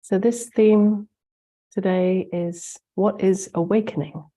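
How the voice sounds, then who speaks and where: A woman hosting a spiritual webinar, gently guiding a meditation while congested from the flu, her voice sounding blocked up. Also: mid-range voice, fairly even intonation. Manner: slow, soft-spoken, gently guiding. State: congested, getting over the flu. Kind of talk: guided meditation and talk.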